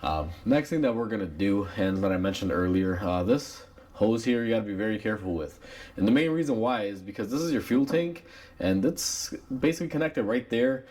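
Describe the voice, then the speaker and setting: Guy w a cold, kinda raspy and low, rambles thru a repair how-to w lots of uhs. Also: brisk. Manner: relaxed, rambling with many uh fillers. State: has a cold. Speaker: mechanic demonstrating a repair. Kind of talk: casual how-to tutorial narration.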